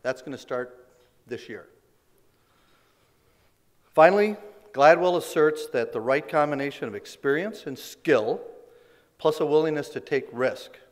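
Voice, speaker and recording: Hoarse man giving an award speech. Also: mid-range voice. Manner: delivers prepared remarks with small restarts and fillers. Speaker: company leader accepting a business award. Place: large indoor banquet hall stage. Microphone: podium microphone. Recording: long reverb of a big banquet hall.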